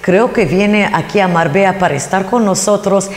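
A woman's voice: mid-range voice, normal speed. A woman with a foreign accent co-hosting a TV interview, gushing with compliments and effusive praise for her guests. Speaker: TV show co-host. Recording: echoey hall with long reverb.

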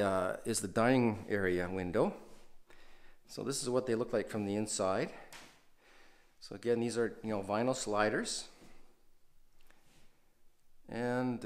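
A man's voice: medium pitch, a little slow, pauses often. A man hosting a renovation video, casually walking through an empty house and talking with many fillers and restarts. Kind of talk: casual walkthrough narration.

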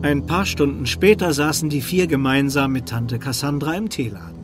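Casting: male narrator for a children's radio play, dramatic, slightly high, with music underneath. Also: moderate pace.

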